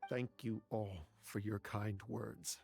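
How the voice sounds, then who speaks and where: An actor playing a devoted, stubborn young scholar in a video game, earnest and emotional. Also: male voice, lowish voice, steady tone, slow pace, gravelly, a little mellow, faint music behind. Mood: grieving, reflective. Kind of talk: dramatic video game voice acting.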